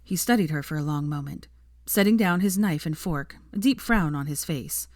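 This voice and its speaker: Woman narrating a story audiobook style, slightly low voice, dry recording. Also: animated, moderate pace, no room sound.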